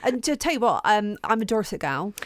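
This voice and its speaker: A woman's voice: mid-range voice, sing-song, fairly quick, dry recording. A bubbly, enthusiastic English woman presenting a radio show from the studio. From England. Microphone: studio mic.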